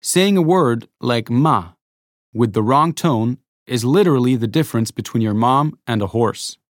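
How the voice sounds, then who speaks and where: A man reading a scripted educational narration, explaining clearly and adding rhetorical asides. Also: medium pitch, animated, normal speed, no echo, clean studio sound.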